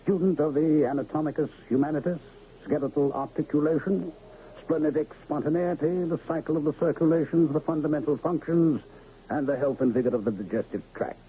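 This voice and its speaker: A man's voice: on the high side, fairly quick, mellow, lightly acted. A male actor playing a grandiose, genial middle-aged travelling medicine man in a 1970s radio drama, florid and theatrical. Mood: grandly genial. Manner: florid, theatrical, wordy showman with chuckles. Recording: muffled, noisy old radio broadcast.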